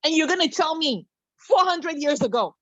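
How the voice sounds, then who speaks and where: Woman from Cameroon, panel speaker on a video call; higher voice, passionate, lots of ums and rhetorical questions, lo-fi dry sound. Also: lively intonation, moderate pace, dry recording. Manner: impassioned, many fillers and restarts, rhetorical questions.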